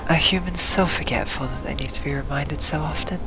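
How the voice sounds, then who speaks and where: A man's voice: fairly high pitch, fairly quick, lightly acted. A man voicing a smug, dismissive birdlike alien in a sci-fi audio drama. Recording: music behind voice.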